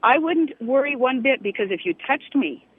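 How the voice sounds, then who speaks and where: A woman's voice: high-pitched, expressive, moderate pace, slightly rough, no echo, phone-quality sound. A woman from Alaska calling a radio show on a cell phone, indignant and challenging as she argues. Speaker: caller. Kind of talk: radio call-in argument.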